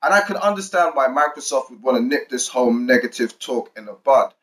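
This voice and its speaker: A man's voice: mid-range voice, animated, a little fast, fairly bright tone. Slangy male gaming YouTuber. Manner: slangy, urban hip-hop style phrasing. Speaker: YouTube gaming channel host. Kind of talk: video commentary to viewers, part hype greeting, part explainer.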